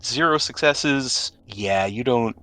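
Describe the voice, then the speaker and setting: A man's voice: mid-range voice, slow pace, pushed and bright. A man running a tabletop roleplaying game as game master, talking casually.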